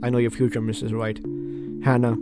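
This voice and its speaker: Gaming host for a visual novel playthrough: mid-range voice, lively and talkative, guitar score underneath. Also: mid-range voice, a little fast. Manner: animated, chatty reactions to the game. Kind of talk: casual playthrough commentary mixed with reading game text aloud. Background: guitar music from the game.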